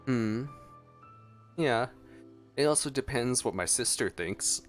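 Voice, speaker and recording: A man's voice: medium pitch, lively intonation, slow. A man giving casual, musing gameplay reaction commentary in a laid-back way. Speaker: gameplay streamer. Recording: game music in the background. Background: game soundtrack.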